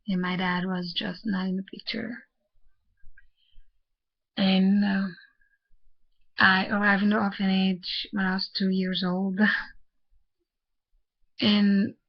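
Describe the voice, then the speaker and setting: A young woman from Haiti telling her life story in a vlog, halting and soft-spoken, with many fillers and restarts. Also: mid-range voice. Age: young adult. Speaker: vlogger. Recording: muffled lo-fi audio.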